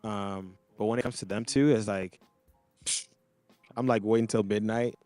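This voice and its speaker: A man's voice: fairly low pitch, steady tone, moderate pace, darkish tone, no room sound, soft background music. A man from Montreal chatting casually as a DJ co-host on a video call, rambling and loose with lots of fillers. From Montreal, Canada. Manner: laid-back, rambling, many fillers. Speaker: DJ and podcast co-host. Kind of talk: casual chat. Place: home, child playing nearby.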